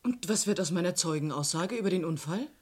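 A woman's voice: on the low side, expressive, fast talker, slightly rough. An actress playing a sceptical, indignant woman under police suspicion in a German radio crime drama, pointed and questioning.

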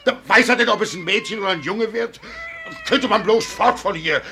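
Plaintive, anxious farmhand, bright voice. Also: male voice, sits high, a little fast, creaky, soft background music, heavily acted. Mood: impatient. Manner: plaintive, lamenting, exclamatory. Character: grumbling, anxious poor farmhand becoming a father. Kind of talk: 1950 German radio play acting. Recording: noisy vintage 1950 radio recording.

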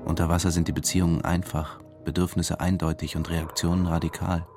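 A low-voiced controlled, reflective man narrating his own story in a radio play. He sounds measured and detached, with music clearly underneath. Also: little pitch movement. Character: detached, controlled male diving instructor narrating inwardly. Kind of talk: first-person radio drama narration.

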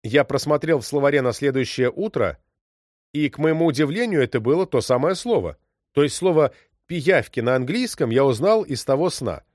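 A man's voice: on the high side, expressive, moderate pace, a little mellow, dry recording. Man narrating stories in a dry close sound. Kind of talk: scripted narration.

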